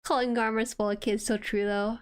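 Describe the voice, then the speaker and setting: A woman with a soft voice chatting casually and reacting excitably as she hosts an anime watchalong stream. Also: on the high side, a little fast, clean tone.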